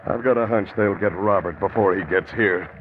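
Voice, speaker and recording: An American actor playing a wounded, forgiving small-town sheriff in a 1950s radio drama, weak and faltering with pain. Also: male voice, low-pitched, normal speed, lightly acted. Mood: pained, reassuring. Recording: tinny, noisy 1950s radio recording.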